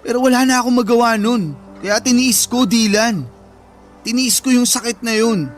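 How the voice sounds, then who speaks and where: A man's voice: very high-pitched, normal speed, soft background music. A man acting an anguished, protective older brother in a Tagalog radio drama, emotional and pleading. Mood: pained.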